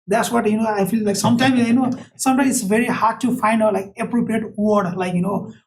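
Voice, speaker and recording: Researcher type, Nepali accent, non-native English, searching for words, faint noise behind him. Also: male voice, high-pitched, fairly even intonation, recorded from a distance. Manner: halting, many "like, you know" fillers. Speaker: university researcher. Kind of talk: meeting presentation Q&A.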